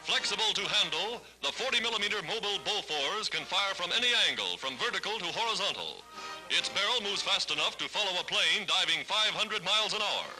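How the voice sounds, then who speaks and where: A man's voice: sits high, expressive, a little fast, over music. A man reads a scripted 1940s wartime newsreel narration in a formal, declamatory, dramatic manner. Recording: noisy vintage 1940s newsreel soundtrack.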